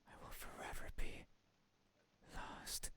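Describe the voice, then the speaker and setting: A performer voicing an eerie, obsessive wandering creature for a horror visual novel, in a slow, haunting breathy whisper. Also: bright, forceful tone. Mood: lost, searching.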